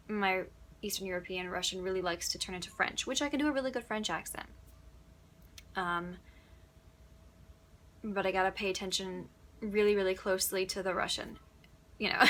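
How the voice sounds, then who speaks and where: A woman's voice: medium pitch, moderate pace, pauses often, fairly bright tone. A young woman from upstate New York, an audiobook narrator, chatting through Q&A answers with plenty of ums and little laughs. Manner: chatty, giggly, many ums. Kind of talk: casual Q&A answers.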